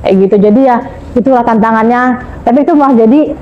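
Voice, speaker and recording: Chatty Indonesian woman, higher voice. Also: fast talker, highs cut off. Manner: chatty, storytelling, often adds "Kak" to her sentences; mixes in English words. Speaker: podcast guest. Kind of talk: casual podcast chat.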